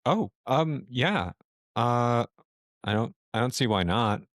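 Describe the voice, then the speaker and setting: A man's voice: mid-range voice, expressive, takes it slowly, dry recording, studio quality. A man voicing an unsure, obliging male campus security operator answering calls in a hesitant way. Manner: hesitant, accommodating, questioning.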